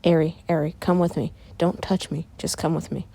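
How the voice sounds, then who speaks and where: A woman voicing an alarmed, stern father in a story, speaking in halting commands. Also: deep voice, fairly even intonation, gravelly, dark tone. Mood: wary.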